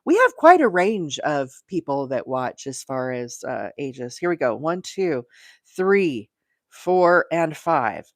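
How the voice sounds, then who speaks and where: A woman's voice: low-pitched, very animated, slow, dry recording. Middle-aged woman chatting on a close, dry mic. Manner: chatty, greets viewers by name, thinks aloud. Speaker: livestream host and crochet demonstrator.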